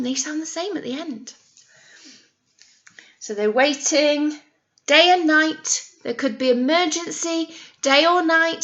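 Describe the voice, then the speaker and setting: A woman's voice: high-pitched, pushed and bright, muffled recording. A British woman teacher reading a picture book to young children, with sing-song emphasis and frequent encouraging questions. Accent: British English. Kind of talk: interactive read-aloud lesson for young children.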